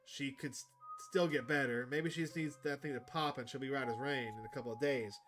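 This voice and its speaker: A man's voice: medium pitch, fairly even intonation, fairly quick, a bit bright, soft background music, close to an everyday voice. Man playing a distraught, stubborn country farmer. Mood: hopeful.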